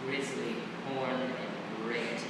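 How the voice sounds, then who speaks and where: A man's voice: medium pitch, flat delivery, drawn-out words. A man acting a grandiose dream spirit, echoing hall. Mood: boastful. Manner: grandiose, declamatory, sardonic. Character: proud, wounded dream-spirit lover who boasts and sneers. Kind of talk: staged reading of verse drama. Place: theatre or hall staged reading. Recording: very echoey hall, distant mic, noisy.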